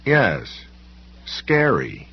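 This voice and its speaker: Audiobook role: man acting an obstinate, uncomfortable ordinary guy, slow and earnest, low register, faint background hum. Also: wildly swooping pitch, creaky, lo-fi sound, full character voice. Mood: earnest, firm. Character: stubborn, uneasy ordinary man confronting a librarian.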